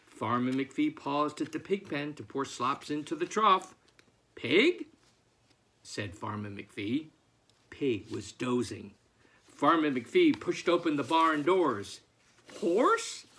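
A man's voice: medium pitch, pushed and bright. A man in his sixties, an author, reading aloud expressively at home. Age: 60s. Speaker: picture book author. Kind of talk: expressive picture book read-aloud and reading out letters. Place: book-lined room at home, indoors.

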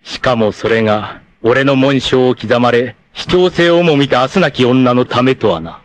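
A man's voice: moderate pace, close to an everyday voice. A man playing a vain, narcissistic, treacherous martial-arts villain in a 1980s anime, with a haughty, theatrical, mocking delivery. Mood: mocking contempt. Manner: theatrical, haughty, mocking, erupting into shrill outrage. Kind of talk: dramatic 1980s anime voice acting.

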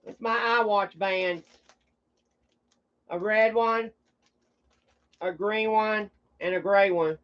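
A blunt, combative streamer rambles casually to viewers. The pitch is mid-range and the language is coarse. The audio is dull and lo-fi. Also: steady tone, takes it slowly, pauses often, a bit bright. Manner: blunt, combative, foul-mouthed.

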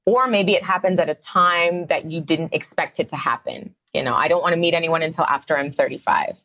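A woman's voice: low-pitched, fairly quick, fairly bright tone, dull audio. A woman, a psychotherapist guest on a podcast, explaining her views in a conversational way with many fillers. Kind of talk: podcast interview.

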